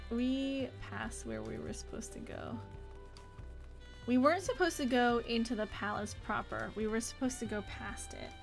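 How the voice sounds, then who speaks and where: A woman with a high voice chats informally on a game livestream. She rambles along expressively, speaking straight to her viewers. Faint music plays behind her. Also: vocal fry. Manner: chatty, rambling, talking to viewers. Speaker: live game streamer. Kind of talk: casual livestream chat. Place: indoor home office.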